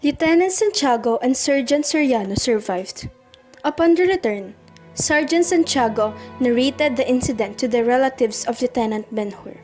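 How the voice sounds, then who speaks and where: A woman's voice: high voice, lively intonation, normal speed, a little mellow. A woman giving scripted narration for an amateur radio drama. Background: soundtrack music.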